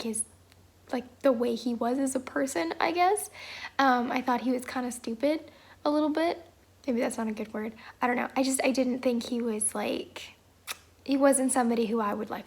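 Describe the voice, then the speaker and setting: A woman in her thirties with a hoarse voice from a cold, talking casually and hesitantly as a vlogger at home. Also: high-pitched. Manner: rambling and hesitant, many fillers. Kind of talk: casual chat.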